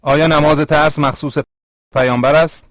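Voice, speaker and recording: A man playing a plain, deliberate speaker in scripted dialogue for a religious educational cartoon. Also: a little high, steady tone, no echo, studio quality, close to an everyday voice. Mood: inquiring.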